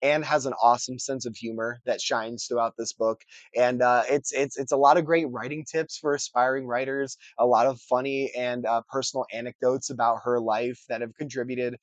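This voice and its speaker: A young man, hoarse and scratchy from a cold, chatting casually and upbeat as a book vlogger. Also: fairly even intonation, normal speed, hardly any pauses, dry recording. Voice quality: scratchy, deeper than usual. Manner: chatty, upbeat, many uh fillers and restarts. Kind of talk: casual chat to camera.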